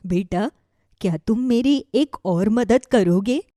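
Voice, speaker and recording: Kindly elderly village woman, acted by a woman, animated storybook, mellow tone, higher pitch, gentle pleading manner, dry close recording. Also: takes it slowly, no echo. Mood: grateful, tender. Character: kindly old village woman living alone. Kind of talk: animated Hindi moral story voice acting.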